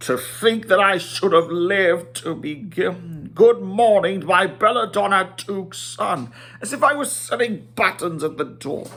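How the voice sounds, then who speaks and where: A man's voice: sits high, lively intonation, a little slow, pushed and bright, pitched above the performer's own voice, exaggerated voice. A man voicing an imposing, teasing old wizard in a storybook reading, emphatic and declamatory, drawing out his words. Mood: indignant.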